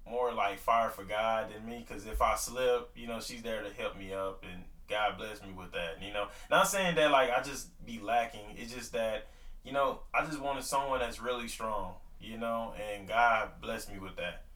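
A man's voice: fairly low pitch, normal speed, sharp tone, recorded from a distance. Young man rambling with fillers, echoey room. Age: 20s. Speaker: podcast co-host. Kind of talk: casual faith-based couple talk.

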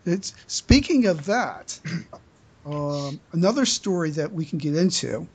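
A podcast host chatting casually through fan news, with a halting delivery that often stammers and repeats words. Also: mid-range voice, animated, slightly rough.

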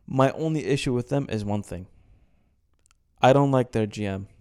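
Opinionated man with a mellow, smooth voice. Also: medium pitch, moderate pace. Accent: American-sounding. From Kuwait. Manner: opinionated, animated when ranting. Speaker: podcast co-host. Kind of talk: casual sports chat.

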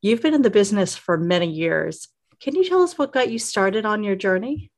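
A woman's voice: mid-range voice, animated, moderate pace. A middle-aged woman hosting a conversational podcast interview. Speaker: guest podcast host, interviewer.

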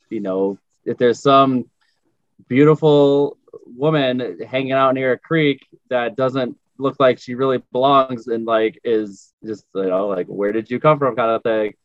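A man chatting casually as a podcast host, in an informal, slangy and emphatic way. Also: measured pace. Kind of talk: casual chat. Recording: roomy, muffled low-fidelity audio.

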